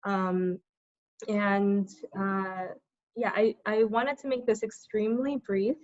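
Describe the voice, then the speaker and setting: A young American woman speaks on a group video call, pausing often on ums and uhs as she finds her words. Her delivery stays level with little rise and fall. The audio is dull and dry, typical of a conferencing line. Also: mid-range voice, little pitch movement, a little mellow, no echo. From United States. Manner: hesitant, many ums and uhs. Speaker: tenant organizer.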